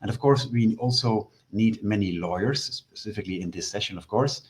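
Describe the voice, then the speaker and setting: Low-voiced man explaining careers. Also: fairly quick. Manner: explanatory, steady, with "uh" and "um" fillers. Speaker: selection office representative, webinar speaker. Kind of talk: online panel talk. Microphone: video call.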